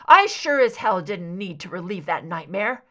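A woman's voice: mid-range voice, sing-song, moderate pace, a bit bright. Woman voicing an intense, wounded male agent. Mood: angry, accusing. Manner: terse, confrontational, emotional. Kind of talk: dramatic audiobook character dialogue.

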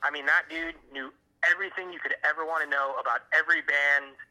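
A man from Miami with an American accent and a fairly high, strikingly bright voice. He talks over a tinny phone line. His delivery is casual and rambling, full of slang and swearing as he tells stories. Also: steady tone, fairly quick. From Miami, USA. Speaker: interview guest on a phone call. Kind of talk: casual chat, telling old stories.

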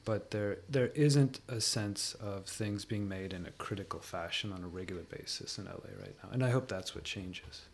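A man speaking as an interview guest, giving measured, opinionated and analytical commentary in conversation. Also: low-pitched, vocal fry, slight background noise.

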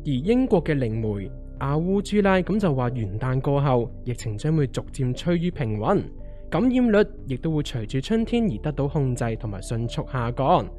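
Radio drama pal: man, played by an actor, Hong Kong accent, mid-pitched, very animated, reassuring explainer. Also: moderate pace, soft background music, natural voice. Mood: ominous explaining.

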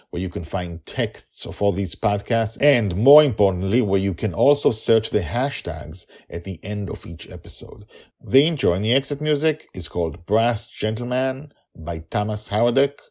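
Man, middle-aged, low pitch, informal solo podcast host, emphatic halting delivery, swinging loud to soft. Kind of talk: informal solo podcast narration, addressing listeners.

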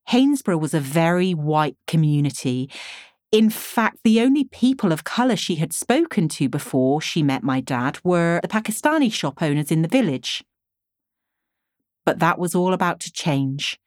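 A woman's voice: low voice, a little mellow, no room sound, studio quality. A woman in her forties reading her own memoir as a scripted audiobook narration. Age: 40s.

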